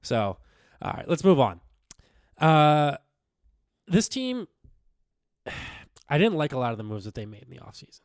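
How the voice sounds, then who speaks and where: A young man co-hosting a casual sports podcast, slightly raspy from recent illness, rambling with lots of fillers and restarts. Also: on the high side, sing-song, a little slow, pauses often, no room sound, clean studio sound. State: recovering from illness, a bit raspy. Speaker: podcast co-host.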